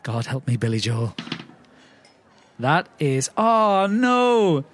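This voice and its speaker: A man with a noticeably high voice plays in a tabletop roleplay podcast. He improvises conversational, in-character dialogue. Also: sing-song, slow pace, slight background noise.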